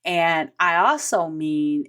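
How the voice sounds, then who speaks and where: A woman's voice: low-pitched, slow pace, a bit bright. An American woman hosting an instructional podcast, explaining in a conversational way with emphasis on key points and casual asides. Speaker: podcast host, vendor payments trainer.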